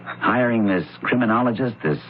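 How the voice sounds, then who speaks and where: An actor playing a bemused, forgiving man with an old flame, expressive and conversational, in 1970s radio drama style. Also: mid-range voice, moderate pace, lightly acted. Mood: warming, agreeable. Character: bemused, forgiving male former lover. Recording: narrowband, hissy old radio broadcast.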